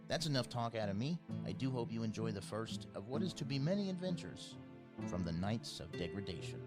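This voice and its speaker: A man's voice: fairly low pitch, big pitch swings, brisk, loud music behind the voice. A man narrating and hosting a tabletop fantasy game as game master. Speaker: game master and podcast host.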